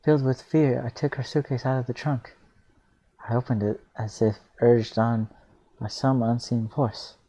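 A man reading aloud casually as a reactor, with spontaneous, expressive exclamations between the lines. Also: medium pitch, normal speed, low-quality recording.

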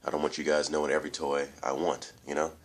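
Very deep-voiced congested young man. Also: little pitch movement, quick pace. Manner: rambling, loose, repeats fillers and addresses viewers as guys. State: congested, nasal from allergies. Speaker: toy reviewer. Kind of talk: casual toy review vlog. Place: room at home, indoors.

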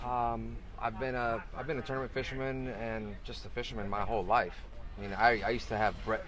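A man's voice: medium pitch, level tone, fairly quick, fairly bright tone. A middle-aged man with an ordinary mid-range voice talks about his fishing tournament. He is enthusiastic and rambling, often restarting his sentences. He is recorded outdoors on a beach through a camera mic. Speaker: tournament organizer. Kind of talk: casual interview talk. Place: outdoors on a beach, under a cart canopy.

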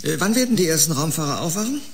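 Creaky, inquisitive male scientist. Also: a little high, big pitch swings, rapid-fire, a little hiss or hum. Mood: curious, concerned. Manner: inquisitive, musing. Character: curious, skeptical male scientist colleague. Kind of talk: audio drama acting.

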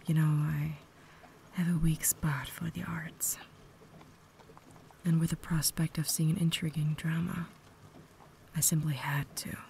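A woman playing a cultured, caring vampire lady in an intimate ASMR roleplay, whispering softly with refined, gentle phrasing. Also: deep voice, little pitch movement, unhurried, pauses often. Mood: inviting, cultured. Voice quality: soft, breathy whisper. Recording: noticeable background ambience noise.

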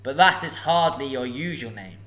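A man voicing a sly, menacing old male dragon, slow and mocking. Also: mid-range voice, animated, a bit bright, close to an everyday voice. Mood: sneering.

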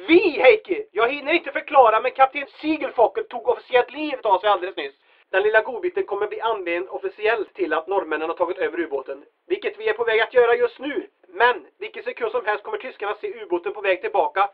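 Through a thin, band-limited telephone line comes a woman acting as a bossy adventure heroine. She gives urgent, clipped commands in radio-play style. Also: medium pitch. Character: urgent, bossy adventure heroine giving orders over phone. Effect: telephone filter. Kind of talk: comedic audio drama acting.

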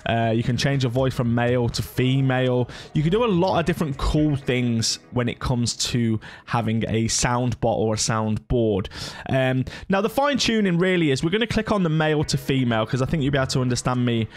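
A man in his thirties giving a casual software walkthrough as a gaming YouTuber. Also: medium pitch, lively intonation, brisk. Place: indoor home gaming setup.

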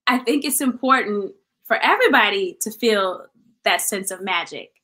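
A woman's voice: medium pitch, animated, normal speed, sharp tone. A middle-aged American woman, a guest artist, giving earnest, reflective interview answers on a video call and restating phrases for emphasis. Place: indoor room at home, video call. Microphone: video call mic.

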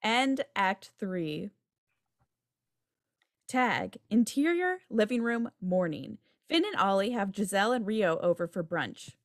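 A woman's voice: mid-range voice, animated, slow pace, no room sound, studio quality. A woman reading out stage directions as scripted narration at a table read. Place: indoor room, on a video call. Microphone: video call microphone.

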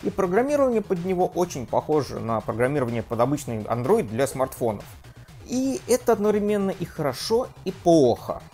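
A man in his thirties talks through a tech review from his home setup. His slightly high voice swings widely in pitch, and he pokes fun at his own slips. Faint music plays underneath. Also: a little fast. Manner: lively, self-mocking, trips on pronunciation. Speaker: tech video host. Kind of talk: scripted tech review vlog. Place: home room with desk and gear.

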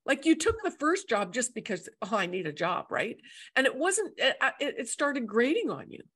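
Middle aged woman, kinda high voice, coaching chat over video call. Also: very animated, moderate pace, a bit bright, slightly rough. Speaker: host and spiritual coach. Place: indoor room, video call.

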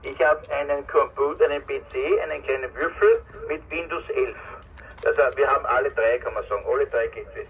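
A man's voice: medium pitch, brisk. A man with an Austrian accent chatting casually through a CB radio speaker. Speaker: CB radio operator running a gateway. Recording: muffled noisy radio speaker, picked up outdoors.

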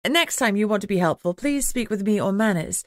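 Casting: female narrator as assertive young alpha she-werewolf, wry, expressive, audiobook dialogue, dry sound. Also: mid-range voice, brisk, dry recording, natural voice. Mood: curt, firm.

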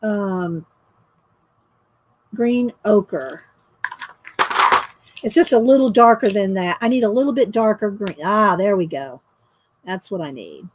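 A woman's voice: mid-range voice, animated, slow pace, long pauses, very noisy. A middle-aged woman, chatting and laughing often as she tells a story while painting. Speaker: painting vlogger. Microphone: overhead camera mic, speaker off screen.

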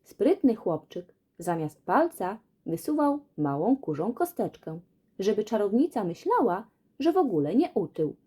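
A woman narrating a children's fairy tale as a storyteller, in an expressive scripted reading. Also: mid-range voice, a little slow, slightly rough.

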